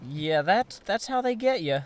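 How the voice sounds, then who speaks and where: Lively man chatting over a game. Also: high voice, unhurried, slight background noise. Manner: rambling, thinks aloud while building. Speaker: game player. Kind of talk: casual gameplay chat.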